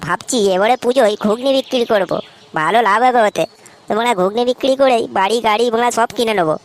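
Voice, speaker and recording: Cartoon casting: cocky, slangy young street-seller guy played by a woman, West Bengal Bengali accent, rapid delivery. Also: on the high side, slight background noise, close to an everyday voice. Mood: scheming, upbeat. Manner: brash, slangy, swearing. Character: cocky, foul-mouthed young male street food hawker. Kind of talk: comic cartoon dialogue.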